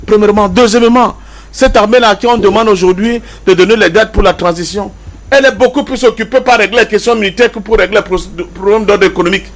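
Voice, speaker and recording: A man's voice: high-pitched, fast talker. A man from Cameroon with a Cameroonian accent giving political commentary as a debate panelist, emphatic, repeating key phrases and asking rhetorical questions. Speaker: panelist in a pan-African debate.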